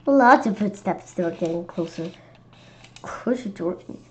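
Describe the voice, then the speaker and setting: A woman reading a children's story aloud in an expressive, animated way, occasionally stumbling over words. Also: fairly low pitch, measured pace. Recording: dull lo-fi sound with clear background noise.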